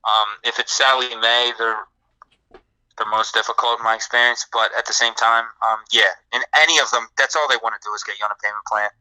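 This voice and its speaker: A man talks fast in a bright, cutting voice. He stays low and even in pitch as he explains financial answers step by step. The sound is dry and close. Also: clear, resonant tone, no room sound, rough recording. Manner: explanatory, repeats words when restarting. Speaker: credit repair co-host. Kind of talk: live podcast Q&A.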